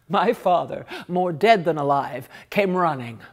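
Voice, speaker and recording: A woman reading a literary story aloud in an expressive, theatrical and deliberate manner. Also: deep voice. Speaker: story reader.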